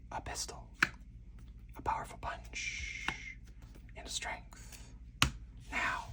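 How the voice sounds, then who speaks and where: Hushed, whispering card game player. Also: distant mic. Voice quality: breathy, unvoiced whisper. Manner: slow, deliberate, hushed delivery. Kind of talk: ASMR whispered card game playthrough with commentary.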